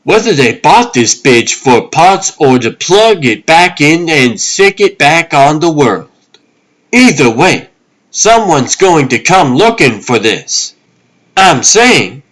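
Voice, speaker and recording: A man's voice: fairly high pitch, big pitch swings, slow, a bit bright, voice pushed up, full character voice, poor audio. A man playing an exasperated young government agent in a comic dub, sarcastic and indignant. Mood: exasperated, urgent.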